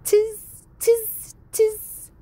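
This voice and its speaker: Mischievous storybook monkeys, voiced by a woman, chattering very high and loud. The sounds come in short, squeaky repeated bursts, teasing and copycat in temper. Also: completely flat delivery, extremely slow, full character voice.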